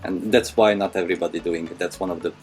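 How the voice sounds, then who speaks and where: Very deep-voiced man, guitar music behind. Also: steady tone, fast talker. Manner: low-key, plain, slightly broken English. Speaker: guest. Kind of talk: casual interview chat.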